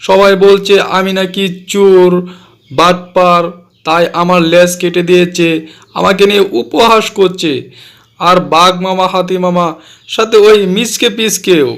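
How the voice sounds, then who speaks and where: Brief: plaintive cartoon fox, voiced by a man, high and self-pitying. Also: fairly even intonation, a little slow, a bit bright, smooth. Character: sad mocked male fox with cut tail.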